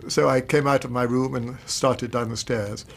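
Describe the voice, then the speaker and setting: A man's voice: medium pitch, fairly even intonation, vocal fry, fairly bright tone. An actor playing an anxious, upright older British banker and weary father, speaking formally in a radio drama. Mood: troubled recounting. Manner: formal, measured, distressed. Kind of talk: BBC radio drama acting.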